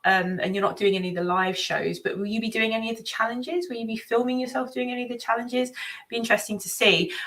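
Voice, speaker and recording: A woman's voice: medium pitch, pushed and bright. A woman hosting a book readalong livestream, chatting casually in a hesitant way as she thinks aloud. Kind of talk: casual live-stream chat, reading out comments and cards.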